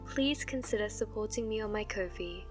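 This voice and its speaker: A woman's voice: medium pitch, normal speed, over music. A woman who makes ASMR roleplays, giving a scripted outro to her listeners.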